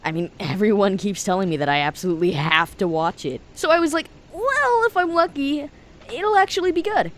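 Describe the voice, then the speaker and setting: A man's voice: high voice, fairly quick, a bit bright. Man acting a friendly, earnest teenage boy. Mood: chatty, eager. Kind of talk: audio drama acting.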